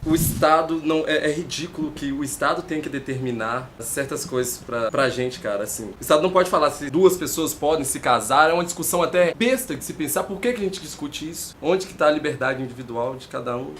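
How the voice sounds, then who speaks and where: A man's voice: a little high, brisk, hardly any pauses, a bit bright, a little hiss or hum. A man in his twenties, a musician and clown, giving casual, emphatic interview answers. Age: 20s.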